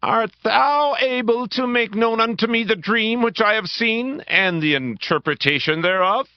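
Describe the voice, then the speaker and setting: A man's voice: high voice, a little slow, clean tone, no room sound. An actor playing an imperious, demanding king of Babylon in a dramatized scripture audiobook. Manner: commanding, questioning. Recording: dull band-limited audio.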